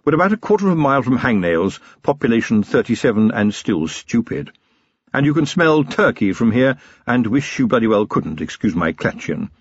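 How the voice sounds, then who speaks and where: A man voicing an irreverent manservant and coachman with a deadpan, politely sardonic manner in an audiobook. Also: mid-range voice, normal speed, breathy edge, dry recording, dull audio.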